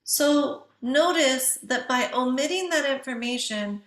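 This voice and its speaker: A woman's voice: high-pitched, measured pace, reverberant. A woman giving an instructional writing lecture in a didactic way, posing questions to listeners and answering them herself.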